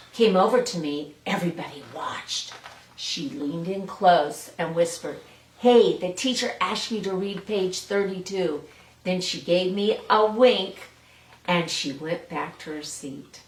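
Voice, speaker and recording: A woman's voice: lowish voice, a bit bright. Older woman in a roomy, distant recording. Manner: playful, encouraging, speaks simply as to small children. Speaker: children's story time host. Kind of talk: read-aloud storytelling with chat to young children. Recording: roomy, distant mic with faint noise.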